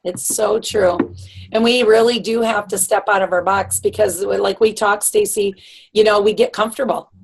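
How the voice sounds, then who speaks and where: A woman hosting a video call, encouraging and emphatic, her voice raspy. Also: medium pitch, steady tone, normal speed, poor audio. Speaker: team leader hosting the call. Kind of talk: casual motivational chat. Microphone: computer mic on a video call.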